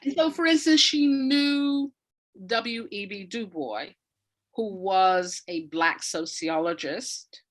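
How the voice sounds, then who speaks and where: A woman from the United States talks as a guest in a conversational interview. She explains things thoughtfully, pausing to find the right term and leaning on important points. Her voice sits at a middling pitch. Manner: thoughtful, searching for words, emphasising key points. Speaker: interview guest, audio drama writer.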